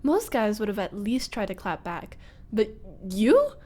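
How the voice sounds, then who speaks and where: A woman playing a teasing young former school bully turned nervous roommate, in an intimate ASMR roleplay with halting, breathy delivery that drops into whispers. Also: mid-range voice, huge pitch swings, warm tone. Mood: frustrated, accusing.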